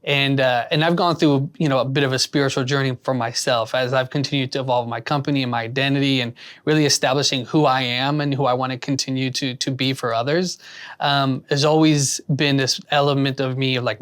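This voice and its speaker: A man's voice: mid-range voice, fairly even intonation, a little fast, fairly bright tone, clean tone. A man hosting an interview, asking rambling, earnest questions full of restarts. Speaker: interview host. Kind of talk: casual interview.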